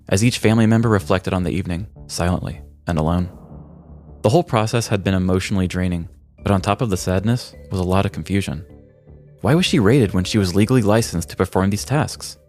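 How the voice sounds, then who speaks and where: Male podcast host, very low voice, scripted comedic crime narration over a music bed. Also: a little mellow.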